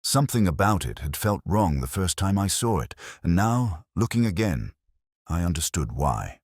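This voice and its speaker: Very deep-voiced man narrating a detective story. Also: big pitch swings, moderate pace, a little mellow, no room sound, clean studio sound. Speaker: audiobook narrator. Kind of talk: scripted first-person detective story narration.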